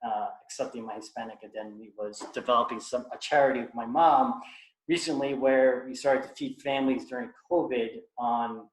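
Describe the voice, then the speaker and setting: A man's voice: mid-range voice, fairly even intonation. Earnest man on an echoey call. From United States. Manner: earnest, halting, many restarts. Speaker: guest speaker. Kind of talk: reflective personal talk on a video call.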